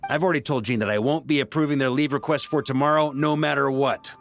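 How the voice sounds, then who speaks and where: A man's voice: medium pitch, fairly even intonation, fast talker, gravelly, lightly acted. A man voicing a jovial, hard-drinking veteran knight commander in a video game, easygoing and boastful in conversation. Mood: relaxed, reflective. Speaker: video game character voice actor. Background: game music.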